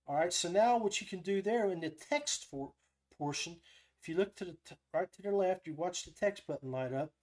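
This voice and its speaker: Higher-pitched man giving a casual tutorial. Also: lively intonation, a little fast, a little mellow. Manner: thinks aloud while demonstrating step by step. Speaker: podcast host giving a software tutorial. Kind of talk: casual live screen-share tutorial.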